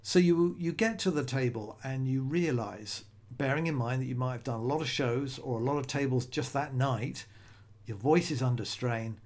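A man's voice: medium pitch, moderate pace, a little mellow. Male solo podcast host, middle-aged, casual, rambling delivery, mid-range voice. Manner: conversational, rambling, self-correcting restarts.

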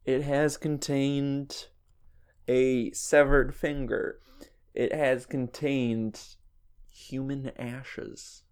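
A man on a casual comedy podcast, answering quiz questions slowly and hesitantly with many ums. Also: mid-range voice, little pitch movement, darkish tone, clean tone. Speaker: podcast co-host and quiz contestant.

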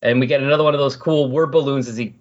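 A man with a slightly husky voice talking casually about comic books as a podcast host, retelling scenes in an animated way. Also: mid-range voice, very fast, lo-fi sound. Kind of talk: casual comic book discussion.

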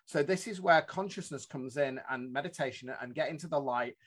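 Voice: mid-range voice, brisk. An interview host who jumps in eagerly to add asides and quotes, apologizing for interrupting. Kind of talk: conversational interview.

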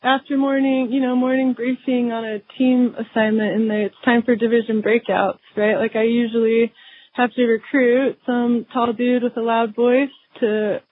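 A woman guest in a casual interview, talking in a soft voice with many fillers and restarts. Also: fairly high pitch, level tone, moderate pace. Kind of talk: conversational interview. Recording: tinny phone-like line.

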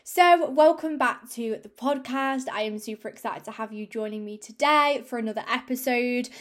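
A woman's voice: a little high, moderate pace. Upbeat woman hosting a podcast. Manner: upbeat, chatty, many fillers. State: slightly croaky from a cold. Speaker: podcast host and fitness coach. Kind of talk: casual solo podcast talk.

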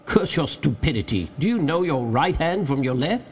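A man voicing a domineering, excitable gentleman in an audiobook story, giving orders with urgent exclamations. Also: a little high, normal speed, slight background noise. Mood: excited, demanding. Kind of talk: dramatized audiobook character.

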